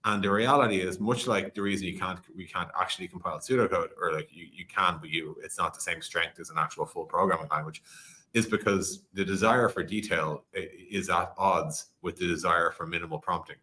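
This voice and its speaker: A man's voice: low-pitched, fairly quick, sharp tone. A man speaking as a podcast guest in a conversational tech interview, explaining animatedly with analogies and quoted examples.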